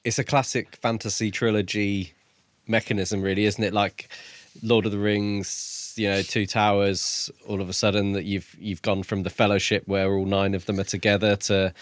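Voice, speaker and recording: A man's voice: fairly low pitch, flat delivery, moderate pace, no echo, clean studio sound. A man co-hosting a podcast, talking casually about books. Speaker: podcast co-host. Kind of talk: casual book discussion.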